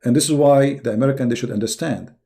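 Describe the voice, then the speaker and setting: A man with an Arabic accent speaks in a very dark, mellow voice of middling pitch with plenty of gravelly creak. A native Arabic speaker, he delivers informal political commentary off the cuff. He is emphatic, often restating a point in new words. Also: fast. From Middle East. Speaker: live-stream host and commentator.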